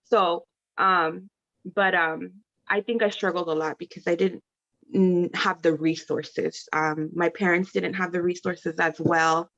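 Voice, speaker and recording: Woman, thirties, from California, chatty and rambling, low voice, muffled call audio. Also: steady tone, unhurried, a bit bright. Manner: chatty, rambling, self-correcting. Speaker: parent panelist. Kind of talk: casual video-call talk. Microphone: video call.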